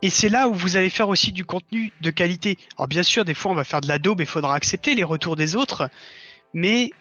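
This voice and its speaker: A man's voice: sits high, fairly quick, pushed and bright, no echo, muffled recording. A man chatting casually as a guest on a livestream, explaining things earnestly and giving advice. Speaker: interview guest, audio fiction author. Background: background music.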